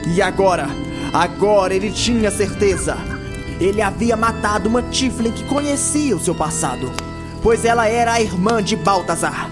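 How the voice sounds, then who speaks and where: Grave female storyteller over loud music. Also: mid-range voice, lively intonation, moderate pace. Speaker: narrator of a fantasy audio drama. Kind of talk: dramatic scripted narration.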